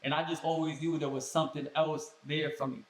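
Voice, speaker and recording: A young man from Colombia, a guest musician telling his story in a casual podcast chat with relaxed street slang. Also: a little high, a little fast. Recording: echoey room.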